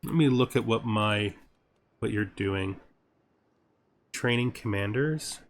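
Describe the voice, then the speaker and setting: A man's voice: on the low side, slow, a little hiss or hum. A man giving gaming commentary as a series host, thinking aloud as he plays. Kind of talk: gaming commentary with scripted intro narration. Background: background music.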